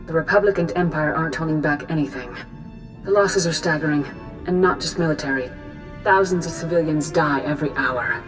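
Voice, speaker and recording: A woman playing a stern, grave Jedi grand master in a video game, measured and authoritative. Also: low-pitched, lightly acted. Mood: grave, urgent. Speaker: military and spiritual leader. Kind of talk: dramatic scripted game acting. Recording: music behind the voice.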